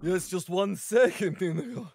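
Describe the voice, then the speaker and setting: A performer voicing a big, gentle, apologetic sidekick in a playful, hammy way for a table read. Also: mid-range voice, normal speed, breathy edge, no room sound. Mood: apologetic, loud. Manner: playful, hammy, with joking asides.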